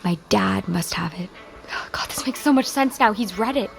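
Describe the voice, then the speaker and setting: An American woman playing an earnest, grieving teenage high school girl in an audio drama, speaking haltingly and emotionally. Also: gravelly, lightly acted. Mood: urgent, determined. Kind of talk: naturalistic audio drama dialogue.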